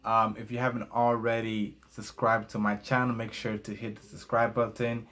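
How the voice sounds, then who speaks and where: A young man with a fairly low voice speaks in English, his second language after Spanish. He reviews a book casually for his channel, stumbling into fillers and restarts as he reads from notes. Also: flat delivery, moderate pace, fairly bright tone.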